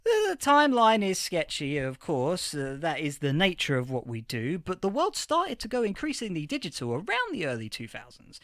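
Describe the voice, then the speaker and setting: A man playing an eager, flustered history professor in a fiction podcast interview, stammering and repeating words excitedly. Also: sits high, normal speed, few pauses, no room sound, studio quality, full character voice. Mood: earnest, lecturing. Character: eager, flustered male history professor of a far-future age. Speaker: interviewed academic expert.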